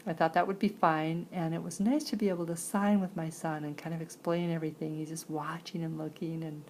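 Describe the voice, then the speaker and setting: A woman telling a personal story conversationally, in halting phrases, with a creaky voice. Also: fairly low pitch, darkish tone, slight background noise, low-quality recording. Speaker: sign-to-voice interpreter.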